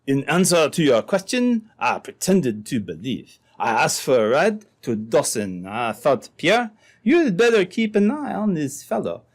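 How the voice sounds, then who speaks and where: A man playing an earnest French-Canadian trapper with a French accent in an old-time radio drama, speaking in a deliberate, dramatic way. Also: a little high, huge pitch swings, exaggerated voice. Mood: reproachful.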